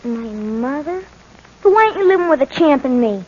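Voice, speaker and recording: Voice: sits high, expressive, moderate pace. A performer playing a streetwise, plain-spoken young boy with slangy speech in a 1940s radio drama. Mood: bewildered. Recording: muffled, noisy old 1940s radio recording.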